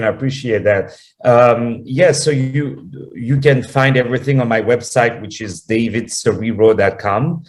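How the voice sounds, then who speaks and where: A man from France with a French accent, talking as a guest on a radio interview, effusive and full of quick restarts. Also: mid-range voice, a little mellow.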